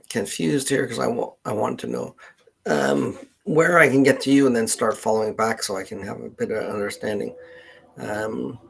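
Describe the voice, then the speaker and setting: A man with a Canadian accent hosting a casual livestream chat, meandering and thinking aloud in a halting way. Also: mid-range voice, vocal fry, slightly rough. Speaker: livestream host, genealogist.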